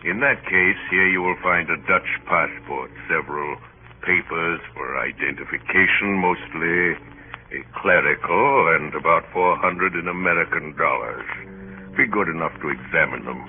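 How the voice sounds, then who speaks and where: A man's voice: low-pitched, moderate pace, phone-quality sound. An actor playing an imposing, enigmatic clergyman in an old-time radio drama, with a pompous, oratorical and insistent manner. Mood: smooth, solemn. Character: imposing, grandiloquent clergyman passenger, commanding and enigmatic. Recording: band-limited, noisy old radio recording.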